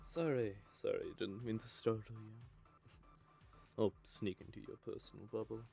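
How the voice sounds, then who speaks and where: A man's voice: fairly low pitch, steady tone, normal speed, a little mellow, soft background music. A man playing a bitter, sardonic male vampire who curses and mocks. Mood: flirty. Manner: cursing, mocking, gravelly. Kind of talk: audio drama roleplay.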